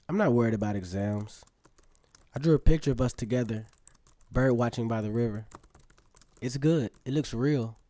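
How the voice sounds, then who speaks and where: An earnest teenage boy in a radio drama, played by a man, reading love letters in a mellow, soft-edged voice. The sound is dry and close, and the phrasing is poetic. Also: medium pitch, no room sound, natural voice. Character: earnest lovestruck seventeen-year-old male letter writer.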